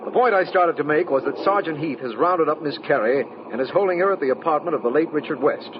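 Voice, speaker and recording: A genial district attorney played by a man with a slightly high male voice, measured and wry in a formal way. Old radio detective drama, dull and noisy in sound. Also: lightly acted. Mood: explanatory. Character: dryly genial male district attorney briefing his friend.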